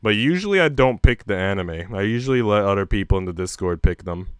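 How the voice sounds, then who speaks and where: Clear-voiced young man livestreaming. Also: fairly low pitch, lively intonation, quick pace, no echo, studio quality. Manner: slangy, swearing, informal. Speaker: livestream host. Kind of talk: casual livestream chat.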